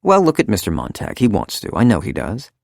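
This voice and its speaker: A man's voice: fairly low pitch, wildly swooping pitch, a little fast, gravelly, a little mellow, dry recording, studio quality, voice pushed up, exaggerated voice. A man voicing a brittle, chattering young wife in a storybook reading. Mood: coaxing.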